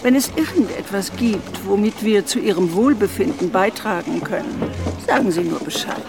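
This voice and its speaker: Humble matronly hostess, polite and eager to please, medium pitch, bit of music. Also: female voice, animated, moderate pace, vocal fry. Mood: obliging. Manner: polite, deferential, obliging. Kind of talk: radio drama.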